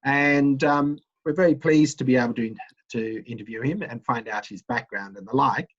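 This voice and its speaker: Husky, hesitant middle-aged man interviewer. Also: medium pitch, normal speed, dull audio. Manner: hesitant, many ums and uhs. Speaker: university associate dean interviewing a student. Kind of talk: relaxed interview questions.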